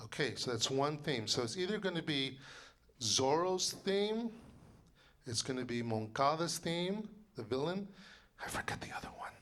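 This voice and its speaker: Man in his 60s, composer giving an informal talk, loud voice, rambling with lots of fillers. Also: a little high, expressive, moderate pace. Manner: chatty, rambling, many fillers and restarts. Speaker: composer and librettist. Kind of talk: informal lecture to an audience.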